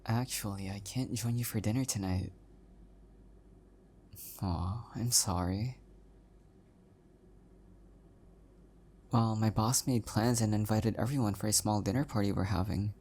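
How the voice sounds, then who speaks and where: A man playing an adorkable, self-deprecating young husband in an intimate one-sided audio roleplay, speaking softly and hesitantly with affection. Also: on the low side, normal speed, slight background noise, natural voice. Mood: apologetic, gentle.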